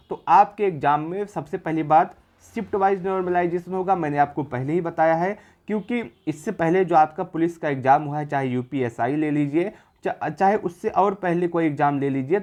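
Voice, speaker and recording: A man's voice: a little high, a little mellow. A man presenting an exam-prep video, explaining figures informally in an assured, repetitive way. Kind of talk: informal explanatory data commentary.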